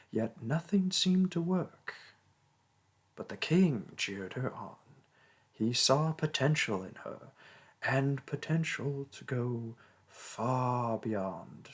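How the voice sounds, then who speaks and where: Man acting out a slow, husky, pompous mage king. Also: mid-range voice, full character voice. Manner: pompous, theatrical, condescending. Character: pompous, vain mage king, haughty toward his peasant subject. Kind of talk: theatrical roleplay monologue and storytelling.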